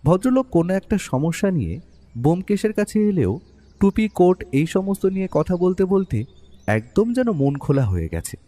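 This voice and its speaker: An actor playing the detective's observant friend, narrating a mystery story in a husky voice with long reverb. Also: male voice, high-pitched, very animated, normal speed, mellow. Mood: reflective. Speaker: first-person narrator. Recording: long reverberant hall-like echo.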